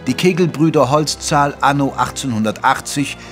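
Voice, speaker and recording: A man narrating a period mystery audio drama in a measured, literary manner. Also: medium pitch, a bit bright, natural voice. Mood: measured narration. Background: music under the voice.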